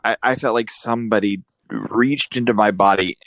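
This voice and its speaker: A man's voice: on the low side, moderate pace, fairly bright tone, dry recording, poor audio. A man hosting a casual podcast, rambling and joking with his co-host, often restarting his sentences.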